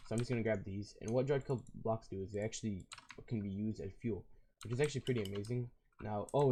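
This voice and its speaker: A man who is losing his voice, so it comes out raspy and hoarse, and a little low and dark. He talks fast and loosely, padding with fillers and restarting sentences as he builds. Faint keyboard and mouse clicks tick along behind him. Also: slight background noise. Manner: casual and rambling, with fillers and self-corrections. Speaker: video game tutorial creator. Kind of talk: casual step-by-step game tutorial.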